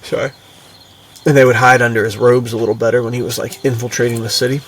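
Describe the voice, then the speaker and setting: A man's voice: mid-range voice, flat delivery, quick pace, husky. A man co-hosting a podcast in a casual, enthusiastic, slangy way, peppering his talk with dude and like. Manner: slangy, bro-ish, full of "dude" and "like". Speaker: podcast co-host. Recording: distant mic with faint background noise.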